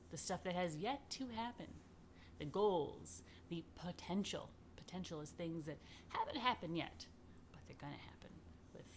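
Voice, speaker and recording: A woman reading a picture book aloud to children, expressively and unhurriedly, with dramatic pauses and chatty asides. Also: lowish voice, a little hiss or hum. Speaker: rabbi reading a children's story. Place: indoors.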